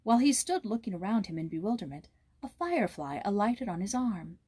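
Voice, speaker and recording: A woman reading a fairy tale aloud as a storybook narrator, expressive and with dramatic emphasis. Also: mid-range voice, brisk, slightly rough, no room sound, studio quality.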